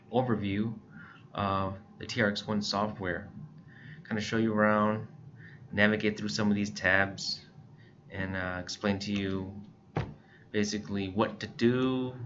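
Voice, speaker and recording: A man giving a casual software walkthrough as a tutorial presenter, speaking informally and a bit hesitantly with frequent short pauses. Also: fairly low pitch, fairly even intonation. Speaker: tutorial presenter for a scanner retailer. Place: indoors, voice-over for screen recording. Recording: muffled, lo-fi with clear background noise.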